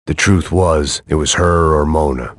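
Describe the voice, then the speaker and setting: An actor voicing a jaded, self-loathing middle-aged antihero in weary, sardonic, deadpan video game noir narration, with a gravelly voice. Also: male voice, extremely low pitch, unhurried. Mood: regretful, bitter. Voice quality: gravelly, husky.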